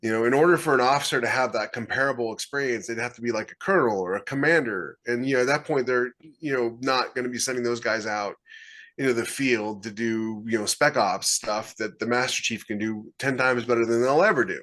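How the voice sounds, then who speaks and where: A man's voice: mid-range voice, brisk, a bit bright. A middle-aged man in a casual panel chat, speaking bluntly and emphatically, often repeating his phrasing. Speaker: podcast co-host. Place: indoor room on a video call.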